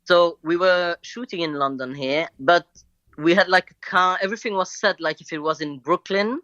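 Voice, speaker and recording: A man from Belgium, a native French speaker with a French accent, telling a story as a guest over a video call, halting and full of fillers. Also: on the high side, pushed and bright, no room sound. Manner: hesitant, halting with many fillers. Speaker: guest actor. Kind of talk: casual interview storytelling. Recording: lo-fi call line.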